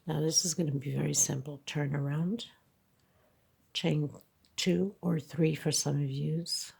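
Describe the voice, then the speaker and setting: Congested woman crochet teacher, unhurried, gravelly and husky, calm step-by-step guidance. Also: deep voice. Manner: slow, counting stitches aloud with frequent okays. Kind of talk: hands-on tutorial narration.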